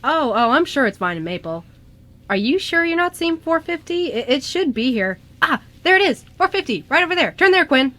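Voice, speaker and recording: A woman playing a playful, forgetful young male ghost in an amateur radio drama, talking casually and haltingly. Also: high-pitched, big pitch swings, fairly bright tone. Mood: playful, assured.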